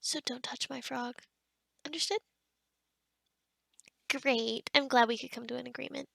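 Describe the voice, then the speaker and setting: A woman acts out a kind young witch of the forest in an ASMR roleplay. Her voice is high and breathy with some fry, recorded close and dry. She talks softly and haltingly, rambling in an awkward, gentle way. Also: fairly even intonation, no echo, full character voice. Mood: sternly threatening, then friendly, hesitant. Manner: hesitant, rambling, softly spoken with whispery stretches. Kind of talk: ASMR roleplay addressed to the listener.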